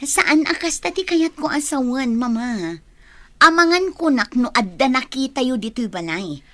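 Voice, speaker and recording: A woman's voice: high-pitched, lively intonation, a little slow, a bit bright, no room sound. A woman with a Filipino accent playing an upset, insistent young daughter in a radio drama.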